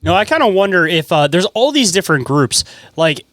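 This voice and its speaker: Higher-voiced man, rambling podcast host. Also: sing-song, fairly quick, a bit bright, no room sound. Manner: rambling, speculative, frequent "like". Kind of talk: casual conversational interviewing.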